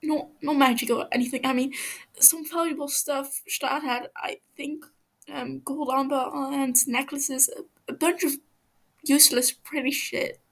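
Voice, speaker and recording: A woman acting a high-pitched, terrified stammering captive man. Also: fairly even intonation, fairly bright tone. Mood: nervous. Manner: stammering, halting, gasping.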